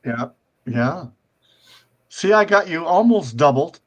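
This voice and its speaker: A man's voice: fairly high pitch, expressive, slow pace. A man in his seventies hosting a casual live-stream talk show, rambling with many fillers and restarts. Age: 70s.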